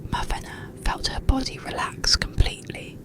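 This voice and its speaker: A narrator reading a bedtime story in a breathy, unvoiced ASMR whisper, soothing, with pauses between short phrases.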